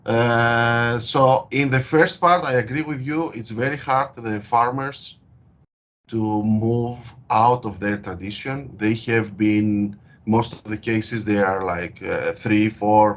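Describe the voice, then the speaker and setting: A man from Greece with a Greek accent, speaking in an interview as a guest researcher and explaining his work with frequent hesitant pauses. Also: on the low side, steady tone. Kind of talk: interview over a call. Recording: dull lo-fi call audio.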